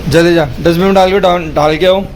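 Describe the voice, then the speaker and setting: A hoarse man chatting casually to viewers in a family vlog at home, lively and teasing with his kids. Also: high voice, fast talker. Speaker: family vlogger. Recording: noisy home recording.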